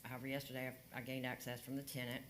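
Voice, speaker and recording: A man's voice: medium pitch, monotone, rapid-fire, fairly bright tone, a little hiss or hum. A man working as a code enforcement officer, reporting his findings at a county hearing in a plain, matter-of-fact way with frequent fillers.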